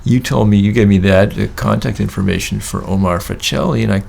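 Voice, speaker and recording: An older man with a gravelly voice, telling stories in casual conversation in a halting, rambling way. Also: lowish voice, a little mellow. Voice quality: gravelly, creaky voice. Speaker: guest recounting record-collecting trips.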